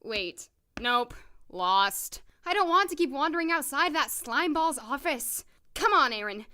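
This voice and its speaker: A woman's voice: sits high, expressive, unhurried, pushed and bright, full character voice. A woman playing a guarded, prickly doctor in an audio drama, wry and clipped, polite but easily flustered. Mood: flustered muttering.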